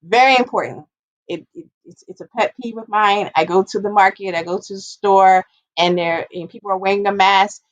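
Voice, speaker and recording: A woman's voice: mid-range voice, moderate pace, no room sound, dull audio. A woman giving an informal, explanatory public health presentation, stressing and repeating her key points as an educator.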